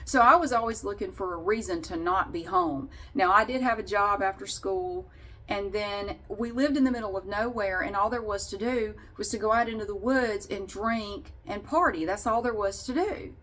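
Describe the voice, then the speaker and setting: A woman's voice: mid-range voice, normal speed, fairly bright tone, poor audio. Candid woman telling a personal story. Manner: candid, wry, sarcastic. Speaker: vlogger.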